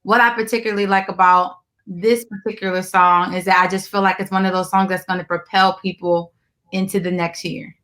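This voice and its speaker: A woman's voice: lowish voice, level tone, brisk, a bit bright. A woman hosting a casual interview, speaking in an encouraging, affirming way. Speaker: interview host.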